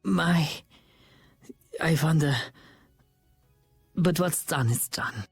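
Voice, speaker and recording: An actor playing a flamboyant, gleeful villain in a video game, with grandiose, mocking theatrical delivery. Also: male voice, fairly high pitch, drawn-out words, slightly rough, exaggerated voice. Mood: weary defeat. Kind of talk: dramatic video game voice acting. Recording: loud music under the dialogue. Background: game music.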